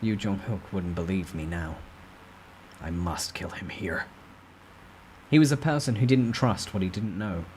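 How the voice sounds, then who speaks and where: A man's voice: low voice, a little slow, pauses often, a little mellow, a little hiss or hum, low-quality recording. A man narrating a novel as a dramatic, theatrical reading. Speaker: storyteller.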